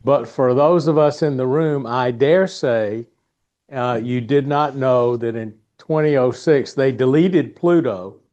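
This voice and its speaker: A man hosting a meeting, giving informal announcements and introducing a guest in a halting, easygoing way. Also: medium pitch, a little mellow, lo-fi sound. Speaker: club meeting host. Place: indoor meeting room.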